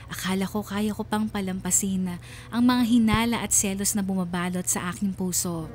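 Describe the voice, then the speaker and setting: A woman's voice: medium pitch, fairly even intonation, moderate pace, darkish tone, lightly acted. A woman playing a wounded letter writer, narrating her painful story reflectively in a radio drama. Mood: sorrowful, reflective. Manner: reflective, sorrowful. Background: background music.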